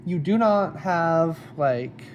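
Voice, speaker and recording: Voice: mid-range voice, animated, takes it slowly, dull audio, slight background noise. A card game deck reviewer with a slight cold, casually explaining card effects one by one.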